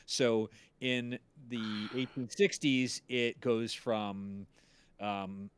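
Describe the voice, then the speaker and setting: A man hosting a casual chat as an audio drama creator, explaining things in a halting, self-interrupting way. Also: medium pitch, steady tone, clean tone, dry recording. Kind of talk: casual chat about their own show.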